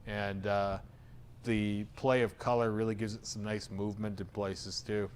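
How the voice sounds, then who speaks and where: A man's voice: on the low side, flat delivery, a little slow, a little hiss or hum. A man with a soothing voice hosting a tattoo reaction show, giving frank, opinionated critiques with casual swearing.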